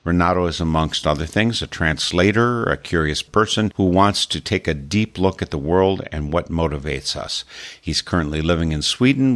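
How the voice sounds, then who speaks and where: A man hosting a spirituality radio show, introducing his guest and making station announcements in a measured way. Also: low-pitched. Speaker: radio host.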